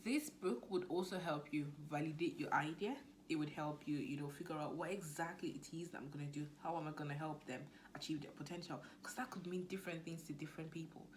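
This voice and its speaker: A young woman with a Nigerian accent talking casually to camera as a business coach, lively and now and then dropping to a near-whisper. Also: deep voice, fast talker, few pauses, slight background noise. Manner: chatty, animated, drops to near-whisper asides.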